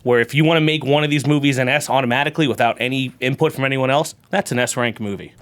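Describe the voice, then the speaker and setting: Male host, average pitch, informal and conversational while steering a group chat. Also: fast talker, fairly bright tone. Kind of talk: casual discussion, moderating.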